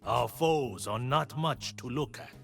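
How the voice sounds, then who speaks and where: An actor playing a stern, wise, burdened blind martial monk from a video game, speaking in solemn, measured proverbs. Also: male voice, medium pitch, vocal fry, a bit bright, faint music behind. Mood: dry. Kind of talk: dramatic game character voice lines.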